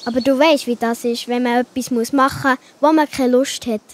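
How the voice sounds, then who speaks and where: A woman's voice: high-pitched, steady tone, normal speed, a little hiss or hum, natural voice. A woman voicing a cheerful, sensible young girl with a Swiss German accent in a children's audio play. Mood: earnest prayer.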